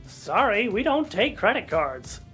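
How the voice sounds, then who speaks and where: A woman's voice: mid-range voice, very animated, unhurried, fairly bright tone, faint music behind. A woman playing a pompous, pedantic male collectibles seller who is grudging and indignant, in a comedic audio drama. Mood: officious.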